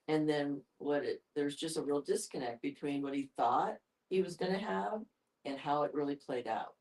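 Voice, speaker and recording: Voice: mid-range voice, a little mellow. Older psychologist talking thru stuff in a group discussion, kinda hedging, mic is far off. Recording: distant microphone.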